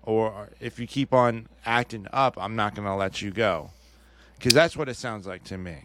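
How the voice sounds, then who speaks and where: A man's voice: fairly low pitch, normal speed, fairly bright tone. A man co-hosting a podcast in casual chat, talking hesitantly with many restarts and hedges. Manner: hesitant, restarts often, hedges his opinions. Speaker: podcast co-host. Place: podcast studio.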